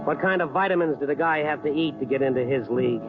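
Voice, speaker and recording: A man's voice: on the high side, quick pace, lightly acted. An American man playing a wry, hard-boiled newspaper reporter who narrates his night in an old radio drama. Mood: tense, suspenseful. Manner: wry, sardonic. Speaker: narrator and lead actor. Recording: muffled old radio recording, music behind.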